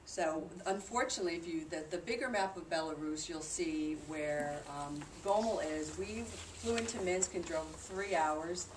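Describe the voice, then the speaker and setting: A woman's voice: lowish voice, moderate pace, few pauses. A woman giving an informal presentation about a trip to a group, explaining things in a conversational way. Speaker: presenter reporting on a charity trip. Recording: distant mic in noisy, echoey room.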